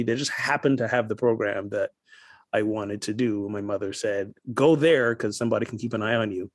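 A middle-aged man with a Barbadian accent tells his story in a lively way, laughing as he goes and repeating words as he gathers himself. His voice sits at an ordinary male pitch and is recorded dry and close, with no room sound around it. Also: dry recording. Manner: animated, laughs while telling stories, repeats words when starting a sentence. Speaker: guest, minister. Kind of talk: conversational storytelling.

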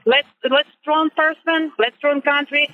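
A woman's voice: high-pitched, steady tone. Dull-line female caller with Ukrainian accent. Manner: halting, emphatic, with non-native grammar. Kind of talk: impassioned argument on a call-in.

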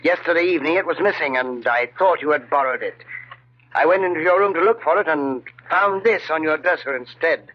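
An actor playing a stern, distrustful uncle, speaking in a measured, accusing way in 1940s radio drama style. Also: male voice, a little high, close to an everyday voice. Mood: coldly accusing. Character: stern, distrustful uncle confronting his nephew. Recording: muffled old radio recording.

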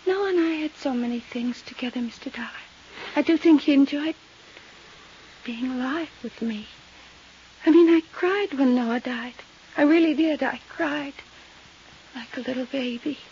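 An actress playing a fragile, unhinged wealthy widow in a 1950s radio drama, rambling in halting, repetitive phrases. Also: female voice, high voice, a little mellow. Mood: dazed, wistful. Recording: muffled, hissy 1950s radio recording.

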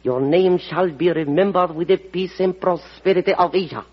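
An actor playing a courteous, dignified Japanese ambassador in an old radio drama, speaking formally and with measured care. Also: male voice, sits high, breathy edge. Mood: solemn, courteous. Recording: muffled vintage radio recording.

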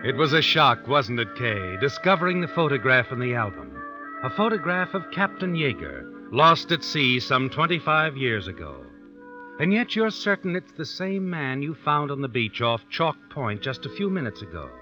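An actor playing an ominous, knowing storyteller, narrating an old-time radio suspense drama in an insinuating, dramatic way. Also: male voice, mid-range voice, big pitch swings, normal speed, bright, forceful tone. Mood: suspenseful, insinuating. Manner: insinuating, dramatic, second-person address. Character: ominous, knowing male storyteller needling the heroine. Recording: dull vintage radio recording with music.

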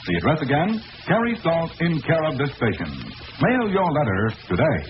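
A male radio announcer with a fairly high, animated voice. He pitches emphatically in an old-time sponsor style. The recording is muffled, with clear hiss. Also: moderate pace. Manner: promotional, emphatic, clearly enunciated. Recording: noisy vintage radio recording, muffled, hissy.

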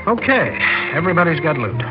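A man's voice: on the high side, sing-song, brisk. A man playing a cocky, brusque young gang leader who talks in curt, sarcastic slang in a 1960s radio drama. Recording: dull old radio recording, loud music.

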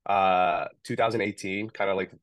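A man's voice: low voice, moderate pace, no room sound. A young man talking casually as an interview guest, rambling a little with lots of "um" and "like". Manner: relaxed, rambling, many fillers like "um" and "like". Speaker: podcast guest, founder of a food retailer.

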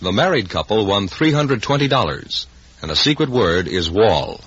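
A man's voice: fairly low pitch, sing-song, normal speed, a bit bright, breathy edge. A man announcing scores and guests on an old radio quiz show recording. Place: radio studio before an audience. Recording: dull old radio recording, light audience noise.

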